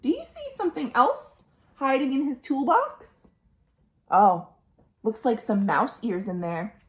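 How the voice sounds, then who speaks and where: Woman storytime reader, high lilting voice, unhurried, dramatic pauses, questions for little listeners, echoing room. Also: lively intonation. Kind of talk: expressive picture-book read-aloud for young children. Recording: roomy, echoing indoor sound.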